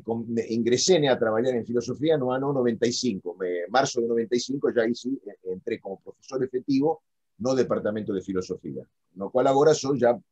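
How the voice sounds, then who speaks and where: A middle-aged man from Argentina with an Argentine Spanish accent, a native Spanish speaker, explaining in a halting, self-correcting academic conversational way. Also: lowish voice, darkish tone, breathy edge. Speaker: philosophy professor, interview guest. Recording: dull lo-fi audio.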